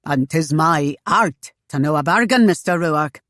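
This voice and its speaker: A woman voicing a shrewd, fussy older gentleman in a storybook, speaking in a formal, old-fashioned way. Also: low voice, expressive, measured pace, dry recording, clean studio sound. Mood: proud, wry.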